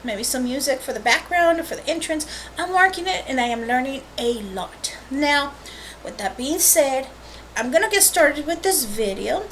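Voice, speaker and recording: A high-pitched woman giving a casual, rambling vlog introduction as host of an art channel, recorded on a webcam microphone. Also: animated, normal speed, fairly bright tone. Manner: rambling, informal asides and self-corrections. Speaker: host of a watercolor painting channel. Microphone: built-in webcam microphone. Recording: faint noise on a webcam mic.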